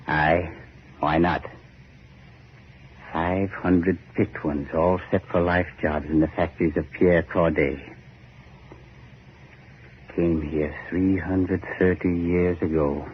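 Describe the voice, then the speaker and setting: An actor playing a grim island man telling a dark history with ominous emphasis, in 1940s radio horror style. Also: deep voice, steady tone, slow pace, pauses often. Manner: emphatic, ominous, repetitive storytelling. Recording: scratchy, muffled 1940s radio recording.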